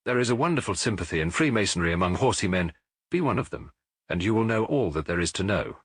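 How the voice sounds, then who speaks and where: A man's voice: low voice, expressive, fast, breathy edge, no room sound. A man voicing a clever, nonchalant Victorian detective in a storybook, urbane, precise and breezy. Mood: brisk, confiding. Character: brilliant, nonchalant Victorian male detective, brisk and amused.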